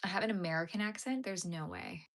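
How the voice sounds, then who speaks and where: A woman's voice: lowish voice, animated, fast. A young Canadian woman with a Canadian accent, chatting casually on a livestream, answering viewers' comments while she crochets.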